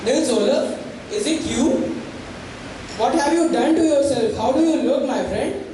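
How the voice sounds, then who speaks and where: A man's voice: very high-pitched, a little slow. A young man on stage playing a prominent ironmaster who addresses an old army comrade. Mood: concerned surprise. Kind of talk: stage acting in a one-act play. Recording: very echoey hall, distant noisy mic.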